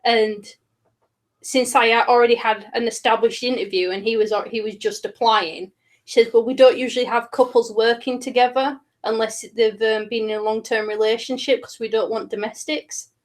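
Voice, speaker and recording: A woman's voice: on the high side, steady tone, moderate pace. A woman chatting casually, telling stories and giggling often. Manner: giggly, tells anecdotes. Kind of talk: casual chat with partner.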